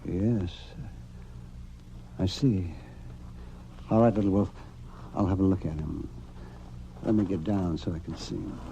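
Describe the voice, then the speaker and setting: An actor playing a steady, protective frontier doctor in an old radio Western, talking in a deliberate, sometimes muttering way. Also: male voice, low-pitched, vocal fry, lo-fi sound. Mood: grave, firm. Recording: noisy old-time radio recording.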